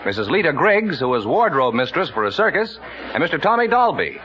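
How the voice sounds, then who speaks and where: A man's voice: on the high side, very animated, brisk, pushed and bright, a little hiss or hum. A man announcing a 1950s radio quiz show with formal, showy delivery. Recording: muffled 1950 radio broadcast.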